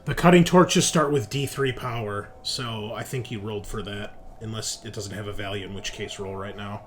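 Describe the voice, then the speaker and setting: Male game master, deep-voiced and unhurried, improvising tabletop scene narration haltingly over background music.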